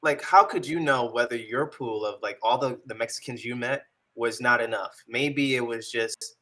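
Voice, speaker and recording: A man's voice: mid-range voice, normal speed, pushed and bright. A man in a casual talk show debate, arguing his point and pushing back on the hosts. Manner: argumentative, pushes back.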